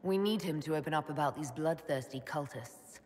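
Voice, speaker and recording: A woman's voice: low voice, moderate pace, a little mellow. An actress playing a confident female adventuring leader in a video game, dry and decisive. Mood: wry, probing. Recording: clear background ambience noise.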